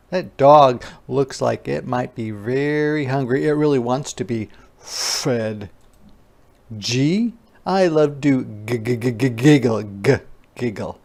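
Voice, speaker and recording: Very slow, repetitive early-reading teacher, sex unclear. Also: mid-range voice, a little mellow. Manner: deliberate, repeats sounds and words for learners; child-directed explanatory asides. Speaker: teacher reading aloud to young children. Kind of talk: interactive phonics read-aloud lesson.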